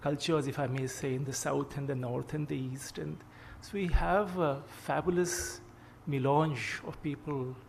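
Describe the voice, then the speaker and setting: An older man giving a formal keynote, speaking in a courteous, measured way with frequent pauses. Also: on the high side, steady tone, vocal fry, slight background noise. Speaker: keynote speaker, retired judge.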